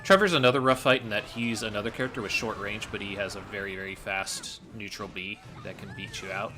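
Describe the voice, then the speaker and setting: A man talks casually through a video game run, explaining as he plays. His voice is bright and sits a little low. Faint game music plays behind him. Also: steady tone, brisk. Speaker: speedrunner commentating on own game run. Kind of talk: casual explanatory gameplay commentary. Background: fighting game music.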